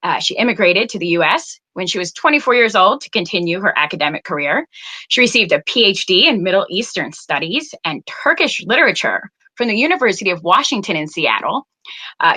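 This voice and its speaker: Bright-voiced woman guest-hosting an interview. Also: fairly low pitch. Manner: friendly, with many fillers. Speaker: guest host.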